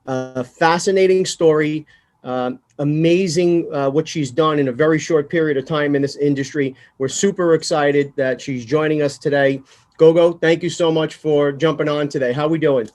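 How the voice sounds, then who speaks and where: A man hosting a podcast interview in a conversational style, phrasing his questions in a halting, thoughtful way. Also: fairly high pitch, steady tone, darkish tone. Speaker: podcast host.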